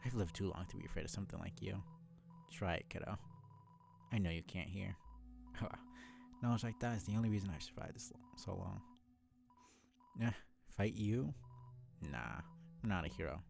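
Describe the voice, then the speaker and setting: A man playing a gravelly, weary old shopkeeper. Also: sits low, expressive, normal speed, pauses often, darkish tone, heavily acted. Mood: weary, defiant. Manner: wry, scornful. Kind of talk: video game character read-aloud.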